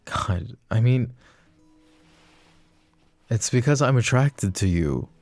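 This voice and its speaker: A man's voice: mid-range voice, expressive, slow pace, darkish tone, no echo, faint music behind. A man playing a possessive, protective mafia boss in an intimate, sardonic boyfriend ASMR roleplay. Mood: earnest, tender. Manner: intimate, brooding, sighing. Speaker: voice actor.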